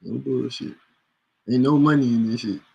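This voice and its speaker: A man's voice: mid-range voice, normal speed, vocal fry, darkish tone, muffled recording, a little hiss or hum. A man in casual chat with friends, laid-back, slangy and profane, often breaking into laughs. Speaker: host.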